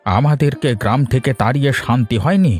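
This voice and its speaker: An actor playing a wronged but forgiving young village fish farmer in a cartoon, emphatic and plain-spoken. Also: male voice, medium pitch, a little fast, a little mellow, slightly rough, soft background music, close to an everyday voice. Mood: indignant. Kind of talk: Bengali moral-tale cartoon dialogue.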